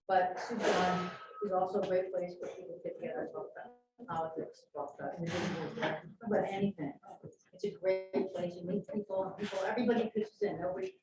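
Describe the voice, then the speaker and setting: A woman, a teacher, speaking off the cuff at a council meeting with rambling enthusiasm. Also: lowish voice. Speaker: teacher giving public comment. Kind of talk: off-the-cuff public comment. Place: council chamber without working microphones. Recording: distant, echoey hall with noise.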